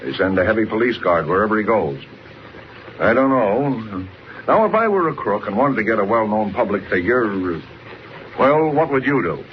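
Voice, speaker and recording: An actor playing a brisk, gruffly friendly newspaper city editor with an authoritative, emphatic manner in a noisy 1940s radio drama. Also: male voice, lowish voice, very animated. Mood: confiding. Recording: noisy, dull vintage radio recording.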